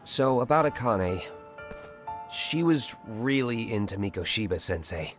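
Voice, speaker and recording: A man's voice: lowish voice, animated, a little slow, faint music behind. An actor playing a blunt teenage male student in a video game dub, with casual phrasing. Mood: subdued, earnest. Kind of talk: English game dub dialogue.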